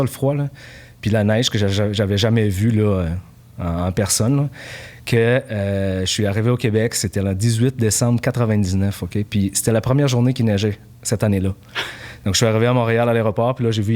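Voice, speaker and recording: Portuguese-born man in his forties, relaxed. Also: on the low side. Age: 40s. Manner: relaxed, many fillers and restarts. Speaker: guest, company founder. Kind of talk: casual podcast interview.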